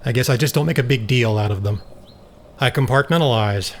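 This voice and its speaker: A man's voice: medium pitch, fairly quick, lightly acted. A man acting in an audio drama as a stern, self-controlled scientist, blunt and clinical in manner. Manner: matter-of-fact, firm, clinical. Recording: faint background noise.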